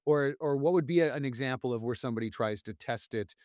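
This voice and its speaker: A man hosts a casual interview, asking questions and reflecting on the answers. His voice sits mid-range and sounds dry and close to the mic. He talks in a relaxed, inquisitive way. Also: medium pitch, fast talker, dry recording. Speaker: host.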